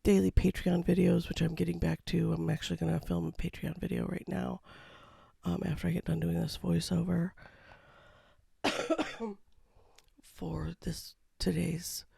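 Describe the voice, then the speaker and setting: An artist talking casually over a painting time-lapse, with a froggy voice from a cold and a low-key, rambling manner. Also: flat delivery, a little slow, gravelly, long pauses, mellow, husky, no echo. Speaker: watercolor artist. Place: home studio.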